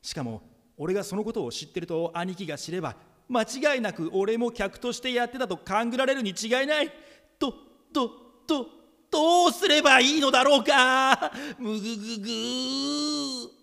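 A man's voice: extremely high pitch, lively intonation, normal speed, vocal fry, bright, forceful tone, full character voice. A man playing a panicky younger brother in an audio drama, agonizing in an agitated, stammering inner monologue. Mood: anxious, agitated. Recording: long reverberant echo.

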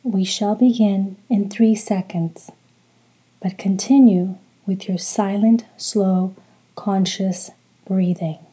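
A woman leading a guided meditation in a soft, breathy voice, calm and deliberate, speaking in short soothing phrases. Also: medium pitch, poor audio. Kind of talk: slow guided meditation with religious recitation.

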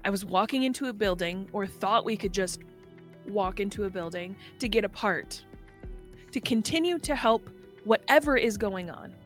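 A woman plays a young mechanic who is defensive and defiant. The delivery is halting and insistent, self-justifying, at a middle female pitch over faint music in a tabletop roleplay. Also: lively intonation, close to an everyday voice.

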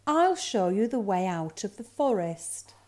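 A woman's voice: medium pitch, very animated, a little slow. A woman voicing a kind, friendly reindeer in a children's storybook. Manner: friendly, gentle. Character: kind, friendly reindeer helping a lost cub.

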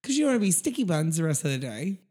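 A woman with a somewhat low, raspy voice, hoarse after a week of illness. She co-hosts a casual podcast and talks bluntly, swearing freely and laughing often. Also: sing-song, racing, mellow. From Tennessee. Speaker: podcast co-host. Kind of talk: casual chat.

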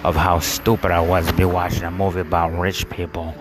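A man's voice: low-pitched, little pitch movement, a little fast, noisy background. A man telling a rambling personal story, full of fillers, restarts and bursts of laughter at himself. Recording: music playing behind.

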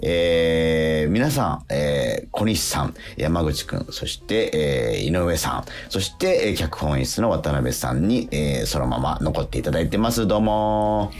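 A man's voice: rumbling low, big pitch swings, measured pace, few pauses, darkish tone. A man hosting a radio show, talking casually, politely and effusively with the cast.

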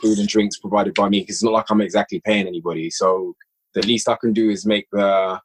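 A man's voice: on the low side, steady tone, brisk, slight background noise, poor audio. A young man, a podcast guest who writes and directs audio dramas, talking casually and eagerly about his process. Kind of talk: casual conversation.

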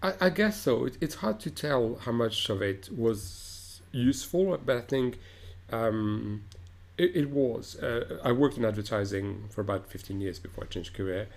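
A man's voice: medium pitch, expressive, moderate pace, vocal fry. A middle-aged man from Morocco, an opera director, answers interview questions thoughtfully, with frequent restarts and fillers.

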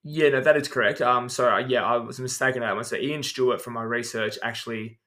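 A man co-hosting a relaxed podcast chat. He talks in long, meandering explanations peppered with ums as he rattles through a list of names. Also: mid-range voice, fast talker. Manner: explains at length, runs through names with many "um"s. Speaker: podcast co-host.

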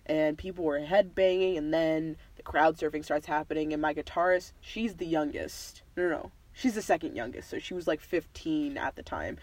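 A young man from the Midwest talks loosely and rambles through a casual drinking-game chat. His voice sits on the high side for a man and is full of easygoing fillers. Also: moderate pace, few pauses, no room sound.

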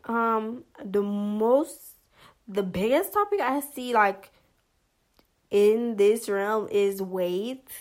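Slow, hesitant woman vlogger. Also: mid-range voice. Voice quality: monotonous voice. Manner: hesitant, many fillers and restarts. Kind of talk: casual commentary to camera.

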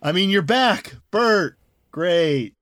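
A woman voicing an awkward, sheepish crewmate with a hesitant, deadpan manner. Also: fairly low pitch, slow, no room sound, clean studio sound.

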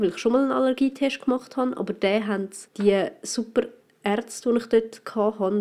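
Hoarse Swiss German woman chatting loosely. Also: medium pitch, mellow. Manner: rambling, loose, full of fillers and restarts. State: hoarse with a cold. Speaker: podcast host. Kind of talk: casual solo podcast chat. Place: at home in quarantine.

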